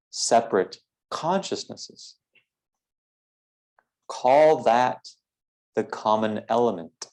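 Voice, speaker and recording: A man leading a philosophical guided meditation in a measured, deliberate way, with formal phrasing and frequent pauses. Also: mid-range voice, a little mellow.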